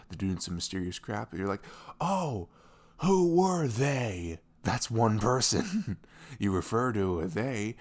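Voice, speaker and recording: A man acts out a sweet young boyfriend in an intimate audio roleplay. His voice sits on the low side and crackles with heavy fry. He rambles in casual slang, animated and caring, slipping into little acted-out quotes. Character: sweet, caring, chatty boyfriend on a morning after.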